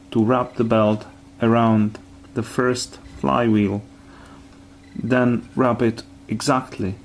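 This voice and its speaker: A man giving a careful, step-by-step hands-on repair tutorial in halting phrases. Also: mid-range voice, slight background noise.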